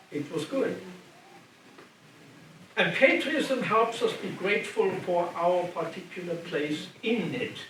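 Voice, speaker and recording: A man's voice: high-pitched, a bit bright. An older man from Germany with a German accent, giving a church lecture in a conversational way, often repeating phrases and asking the room "okay?". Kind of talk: church adult education lecture. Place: church hall. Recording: very echoey hall, distant mic, background noise.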